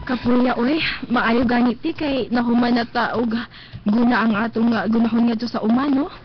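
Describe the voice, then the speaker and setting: An actress playing a timid, sorrowful younger sister in a radio drama, plaintive and hesitant, with a Visayan Filipino accent. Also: female voice, on the high side, fairly even intonation, moderate pace, natural voice. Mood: weary. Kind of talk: Philippine radio drama acting. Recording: dull lo-fi live stream, music behind.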